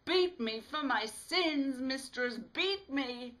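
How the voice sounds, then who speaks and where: Brash teen lad, performed by a woman narrator, raised pitch, loud, melodramatic, storybook character. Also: lively intonation, takes it slowly, exaggerated voice. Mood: mock pleading. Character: cheeky, brash, excitable teenage boy.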